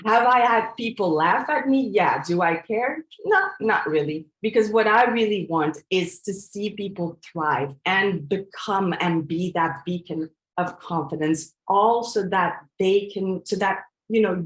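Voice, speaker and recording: A woman in her forties from Belgium, a coach giving a presentation in an earnest, reflective way, often pausing on fillers and restarting her sentences. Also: medium pitch, reverberant, rough recording. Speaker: coach and trainer. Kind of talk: presentation to an audience.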